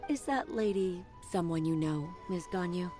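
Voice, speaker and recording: A woman's voice: fairly low pitch, animated, moderate pace, over loud music, close to an everyday voice. A woman playing a deferential, helpful office assistant in a video game. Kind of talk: scripted video game dialogue.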